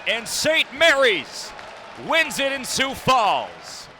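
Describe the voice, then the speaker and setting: A man's voice: extremely high pitch, huge pitch swings, takes it slowly, pushed and bright, some chatter behind. A young man calling basketball play-by-play for radio in clipped phrases, with emphatic calls on the big plays. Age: young adult. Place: indoor basketball arena. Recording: noisy live arena broadcast. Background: arena noise, bouncing ball.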